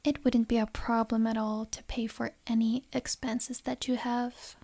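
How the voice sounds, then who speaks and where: An actress playing a wealthy, possessive noble lady in an ASMR roleplay, speaking softly and breathily with refined, measured delivery and menace under a gentle facade. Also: fairly high pitch, flat delivery. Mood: doting. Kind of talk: ASMR romantic roleplay acting.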